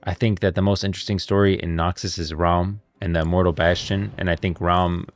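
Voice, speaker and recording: A man's voice: low voice, little pitch movement, a little fast, no room sound. A man streaming casual commentary, rambling and thinking aloud as he goes. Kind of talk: casual game lore commentary. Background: background music.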